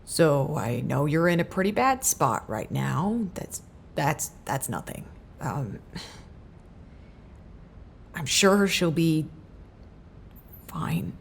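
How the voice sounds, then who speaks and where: A woman playing a weary, foul-mouthed research scientist in an audio drama, halting and dryly clinical. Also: low-pitched, big pitch swings, creaky, a little hiss or hum, heavily acted. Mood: awkward, subdued. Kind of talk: audio drama acting, naturalistic.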